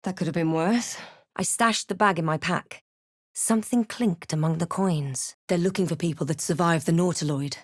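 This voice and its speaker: Woman acting a low-voiced, wry fantasy adventurer heroine. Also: moderate pace, no room sound, clean studio sound, lightly acted. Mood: wry, matter-of-fact. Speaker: video game player-character voice actor. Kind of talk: scripted game narration and barks.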